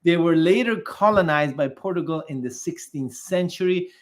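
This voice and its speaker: A man's voice: on the high side, normal speed. A man presenting for his firm in a conversational, salesy explainer, with chatty asides, small laughs and stumbles over foreign names. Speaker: investment migration firm presenter. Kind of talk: promotional explainer monologue.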